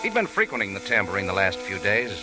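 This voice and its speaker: World-weary american adventurer, hard-boiled, swingy pitch, old radio show with music. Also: male voice, sing-song, quick pace. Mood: wry, weary. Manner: hard-boiled, clipped, wry. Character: world-weary, wry male cafe owner, adventurer narrator. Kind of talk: 1940s radio acting, first-person narration.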